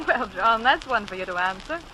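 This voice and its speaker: Sincere, gentle family mother in vintage radio play; clear forward tone, faint hiss. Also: a little high, animated, fairly quick, low-quality recording. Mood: modest, amused. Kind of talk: 1940s radio acting. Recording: hissy 1940s radio recording.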